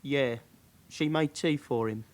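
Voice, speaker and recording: An actor playing a frightened, cornered young suspect in a British radio drama, giving short, nervous, deferential answers in a British accent. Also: male voice, mid-range voice, slow, natural voice. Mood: reluctant, subdued.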